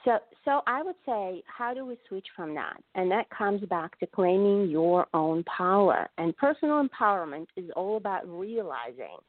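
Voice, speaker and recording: A woman's voice: mid-range voice, lively intonation, normal speed, few pauses, dry recording. Earnest woman coach on a dry call line. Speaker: guest, empowerment coach. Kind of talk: live online interview. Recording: dry, phone-like narrow audio.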